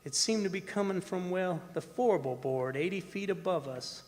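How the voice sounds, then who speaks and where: Male actor as folksy roughneck storyteller, somewhat high-pitched, colloquial, halting, radio horror play, long hall reverb. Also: lightly acted. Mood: uneasy, recounting. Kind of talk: radio horror drama performance, first-person narration. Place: performing arts center stage. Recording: echoey hall stage recording with music.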